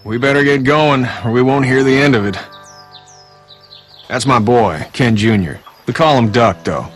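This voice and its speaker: A man's voice: mid-range voice, normal speed, soft background music, lightly acted. An actor playing a folksy, easygoing father in a video game, chummy and practical. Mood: friendly, folksy. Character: folksy, chummy family man, proud practical dad. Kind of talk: naturalistic video game acting.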